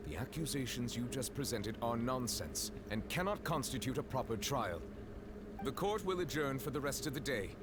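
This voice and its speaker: A man's voice: medium pitch, expressive, noisy background, close to an everyday voice. A man playing a stern, dignified judge. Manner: measured and authoritative.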